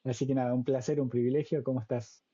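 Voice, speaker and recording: A man's voice: mid-range voice, little pitch movement, fast, mellow, breathy edge, muffled recording. A man with an Argentine accent, hosting an informal interview in a casual, conversational way. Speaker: podcast host, interviewer.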